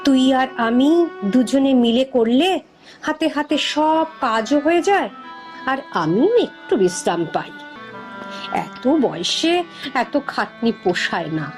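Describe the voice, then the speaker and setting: A woman's voice: high voice, animated, measured pace, soft background music. A woman playing a weary, patient old village mother in a cartoon, chiding and pleading in a plaintive way.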